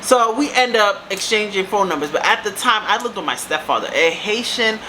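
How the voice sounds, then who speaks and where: A woman in her thirties with a deep voice chats through a storytime at home, sprinkling in "baby" and "girl" and wandering into lively asides. An air conditioner hums steadily under her voice. Her pitch sits in an ordinary middle range. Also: fairly quick, a little hiss or hum, rough recording. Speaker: storytime vlogger. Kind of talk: casual storytelling to camera.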